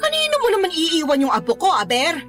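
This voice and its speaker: A woman's voice: extremely high pitch, huge pitch swings, fast, a bit bright, faint music behind, heavily acted. A woman playing an indignant, nagging Filipino grandmother who protects her grandchild, in a radio drama. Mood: outraged. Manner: exasperated, nagging, exclamatory.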